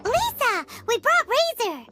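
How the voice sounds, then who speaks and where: A woman voicing a small, childlike, chirpy and curious fairy companion in a video game, with a squeaky, exclamatory delivery. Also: big pitch swings, unhurried, music in the background, exaggerated voice. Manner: exclamatory, refers to self in third person.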